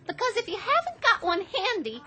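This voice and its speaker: Brassy, sarcastic, very high-pitched gal. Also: female voice, huge pitch swings, brisk, pushed and bright, soft background music, heavily acted. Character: sarcastic, fed-up, brassy woman coworker. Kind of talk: old-time radio drama acting.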